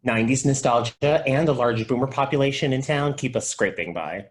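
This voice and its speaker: A man's voice: mid-range voice, brisk, lightly acted. Goofy, chatty male video store clerk, acted. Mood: matter-of-fact. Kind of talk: table read of a comedy TV pilot.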